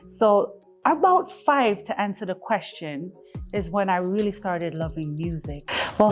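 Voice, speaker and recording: A woman from Jamaica, giving casual, animated and emphatic interview answers as a music artist. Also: medium pitch. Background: background music.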